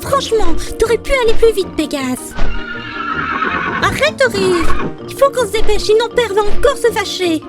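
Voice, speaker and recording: Shrill, bossy young goddess. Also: female voice, lively intonation, moderate pace. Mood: impatient, bossy. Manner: shrill, scolding, exclamatory. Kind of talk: comic audio drama acting. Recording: music behind.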